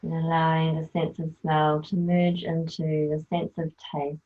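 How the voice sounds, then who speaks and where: A woman leading a guided yoga nidra relaxation, speaking softly and soothingly as she gives gentle instructions. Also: low voice, monotone. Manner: slow, soft, soothing instruction. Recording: tinny phone-like sound.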